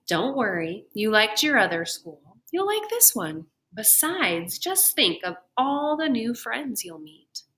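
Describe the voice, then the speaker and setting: A cheerful storybook husband voiced by a woman reading aloud, coaxing and chiding by turns. The tone is bright and firm, brushing every worry aside. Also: on the high side, sing-song, slow, few pauses, heavily acted. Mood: amused reassurance. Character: coaxing, cheerful husband, Mister Hartwell, firmly dismissing worries.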